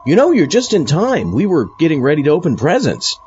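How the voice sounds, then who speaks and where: A man's voice: on the high side, huge pitch swings, brisk, darkish tone, dull audio, soft background music. A man playing a genial, wry party host with a casual manner in a comedic audio drama. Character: genial, wry male party host among oddball friends.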